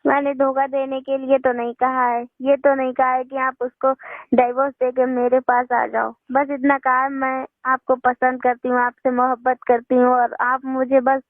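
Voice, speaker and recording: A woman's voice: high-pitched, little pitch movement, normal speed, no room sound, soft background music. A young woman, speaking insistently and without fluster in a personal phone call. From northern India. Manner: insistent, unflustered. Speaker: phone caller. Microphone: telephone. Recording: tinny phone-line call recording.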